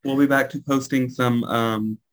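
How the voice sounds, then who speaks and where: A man's voice: mid-range voice, fairly even intonation, normal speed. A young man co-hosting a podcast, chatting casually with campy, profane jokes and frequent laughter. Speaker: podcast co-host. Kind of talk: casual chat.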